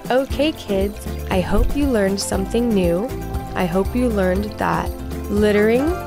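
A woman reading a children's storybook aloud in scripted narration, expressive and clearly phrased for young listeners. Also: mid-range voice, measured pace, over music.

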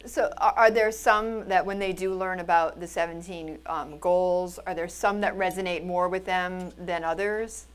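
A woman's voice: fairly low pitch, fairly even intonation, a little slow, few pauses, clean tone. A woman moderating a conference panel, putting conversational questions to the speakers. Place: indoor conference event on a stage.

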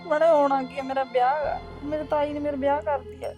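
Young woman as a quiet, tearful bride, high voice, soft music bed. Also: flat delivery, a little fast, natural voice.

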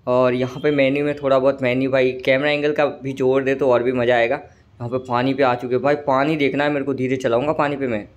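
A man with a slightly hoarse throat giving casual, chatty commentary over a train simulator game, often calling viewers "brother". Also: medium pitch, little pitch movement, a little fast, dull audio. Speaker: gaming channel host.

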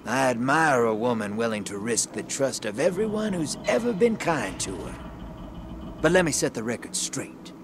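An actor voicing a shady, streetwise rogue and survivor in a video game, with slangy, conspiratorial swagger. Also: male voice, mid-range voice, expressive, normal speed, noisy background, close to an everyday voice. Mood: sly, persuasive. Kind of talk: video game character dialogue.

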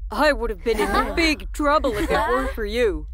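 A woman's voice: fairly high pitch, lively intonation, normal speed, a crowd in the background, close to an everyday voice. A woman voicing a friendly young little red spaceship in a children's cartoon, youthful and earnest. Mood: grateful.

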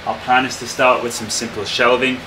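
Guy in his 30s talking to his camera in a workshop, easygoing, lots of uhs, steady hum behind him. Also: mid-range voice, fairly quick. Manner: relaxed, explanatory, frequent "uh" fillers. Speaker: boat restoration vlogger. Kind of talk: casual explaining to camera. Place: open-sided wooden workshop. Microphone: handheld camera at arm's length.

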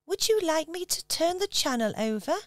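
A woman's voice: extremely high pitch, big pitch swings, moderate pace. A woman voicing a polite, meek female polar bear in a radio pantomime.

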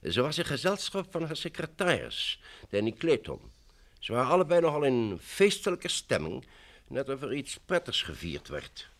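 An actor playing a polite, obliging, assured official in an old Dutch radio drama, with a formal, explanatory manner. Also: male voice, mid-range voice, very animated, moderate pace. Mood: curious.

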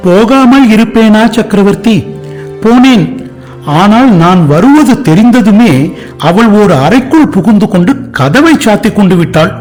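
Earnest young Italian, high-pitched, indignant, with quiet music under. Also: male voice, animated, unhurried. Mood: distressed. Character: eager young Italian man, earnest and outspoken. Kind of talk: radio drama acting.

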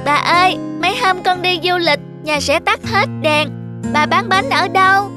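High-voiced caring little boy. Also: moderate pace, music in the background. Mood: caring, concerned. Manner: earnest, childlike.

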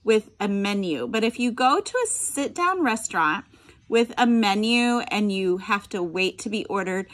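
A woman's voice: medium pitch, animated, normal speed, a bit bright. A middle-aged woman reading a picture book aloud to children, expressively, with simple explanatory asides. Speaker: special education teacher reading aloud.

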